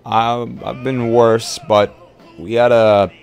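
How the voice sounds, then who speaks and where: A man taking part as a player in an improvised roleplay, speaking casually in a hesitant, halting way. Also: mid-range voice, flat delivery, a little mellow. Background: music.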